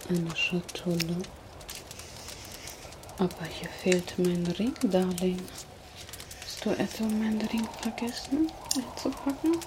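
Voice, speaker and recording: A woman voicing a teasing, predatory female vampire kidnapper in an ASMR roleplay, whispering softly and flirting close to the mic. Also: on the low side, slow, long pauses, noisy background. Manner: soft whispering, playful and flirtatious. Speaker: ASMR creator.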